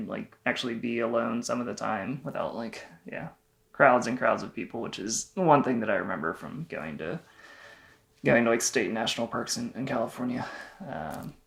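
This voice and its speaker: A man's voice: medium pitch, gravelly, a bit bright. A young man co-hosting a travel vlog, chatting casually and rambling with lots of fillers. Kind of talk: casual chat.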